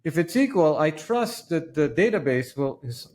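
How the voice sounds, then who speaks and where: A man from the Philippines hosting a podcast in casual interview chat, halting and often repeating the start of his phrases. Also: fairly high pitch, big pitch swings, darkish tone. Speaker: podcast host.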